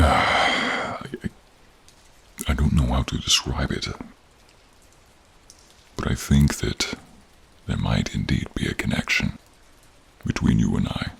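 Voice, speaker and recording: Guy doing a super low husky dragon in human form, soft n caring, slow halting fantasy roleplay. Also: full character voice. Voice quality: very deep, gravelly, husky, breathy. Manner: tender, halting, hushed, drawn-out phrasing. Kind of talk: audio role-play speaking to an unheard listener. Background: rain and cave ambience.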